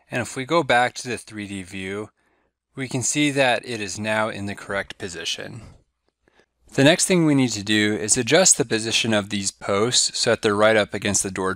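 Low-voiced man explaining software steps. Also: no echo, studio quality. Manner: steady step-by-step instruction. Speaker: software trainer. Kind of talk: screen-recorded software tutorial.

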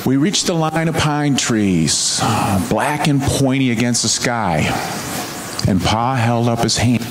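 A man reads a picture book aloud at a very unhurried pace, stretching words for expression. His mid-pitched voice rings around a large, echoey church space, with clear noise behind him. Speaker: minister reading to congregation. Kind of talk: storybook read-aloud. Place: large church sanctuary before an audience.

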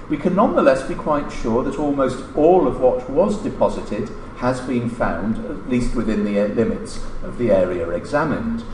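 A middle-aged man reads a formal academic paper aloud in measured, deliberate phrases. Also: medium pitch, darkish tone. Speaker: academic lecturer reading a paper. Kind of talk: formal scripted lecture, read aloud. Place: indoor lecture room at a lectern. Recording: roomy lecture-hall echo, background noise.

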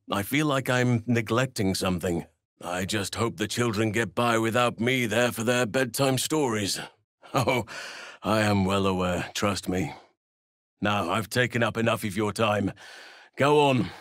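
An actor playing a gentle, earnest druid and guardian of children in a fantasy game, speaking in formal, measured, courtly phrases. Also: male voice, medium pitch, no echo, clean studio sound, close to an everyday voice. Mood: warm, amused.

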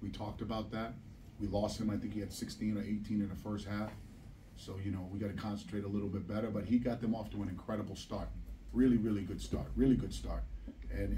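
A man's voice: on the low side, mellow. A middle-aged man, a basketball head coach, answering questions bluntly and emphatically at a postgame press conference, repeating phrases for stress. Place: press room at a table. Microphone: table microphone, recorded from a distant camera. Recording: distant, slightly noisy press room.

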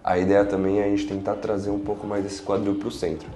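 A man's voice: fairly low pitch, completely flat delivery, fairly quick, mellow. A young Brazilian man working as a chiropractor, giving a patient reassuring instructions and explaining as he goes. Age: young adult. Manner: reassuring, checking in often. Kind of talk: instructing a patient during treatment, explaining to camera. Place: small indoor treatment room with large windows. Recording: roomy echo, faint music behind.

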